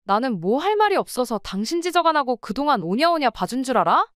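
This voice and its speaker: A woman's voice: high voice, very animated, quick pace, no echo, clean studio sound. A woman playing an exasperated wife who runs a restaurant, arguing with her husband and in-laws. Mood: angry. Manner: pleading, then sharply arguing. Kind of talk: radio drama acting.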